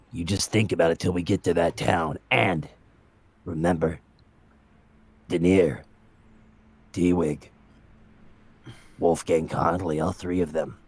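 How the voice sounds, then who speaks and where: A man voicing a sly, persuasive adventurer who bargains in a smooth, coaxing way. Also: deep voice, darkish tone, heavily acted.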